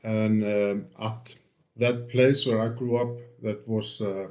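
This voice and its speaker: A man's voice: fairly low pitch, level tone, slow, darkish tone. A man from Iceland with an Icelandic accent, giving casual interview answers in a hesitant way, with many fillers and restarts. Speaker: interview guest, book restorer. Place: home.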